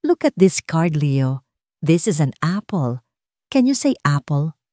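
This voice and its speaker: A woman voicing a calm, emotionless male psychiatrist in his late forties in an audio drama story. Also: low voice, dry recording, clean studio sound, heavily acted. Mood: calm, insistent. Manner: calm, emotionless, measured, repetitive.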